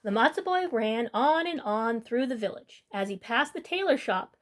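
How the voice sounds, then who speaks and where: A woman's voice: fairly high pitch, expressive, normal speed. A woman hosting a storytime, reading a children's picture book aloud as narrator.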